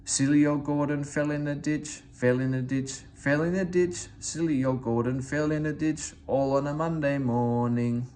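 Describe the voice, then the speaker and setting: A man's voice: on the high side, fairly even intonation, moderate pace, hardly any pauses, a little mellow, slight background noise, pitched above the performer's own voice, lightly acted. A man voicing gleeful little boys jeering in a children's story. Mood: gleeful singsong taunting.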